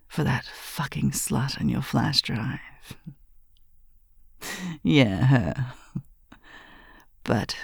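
A young adult woman in a close-miked, whispered adult audio roleplay, teasing, with soft laughs. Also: extremely low pitch, creaky, breathy edge, dry recording. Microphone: close mic.

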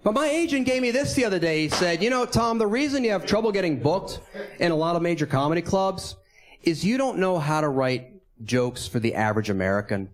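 A man in his thirties performing a stand-up comedy set, delivering punchlines at a measured pace. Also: high voice, animated. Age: 30s.

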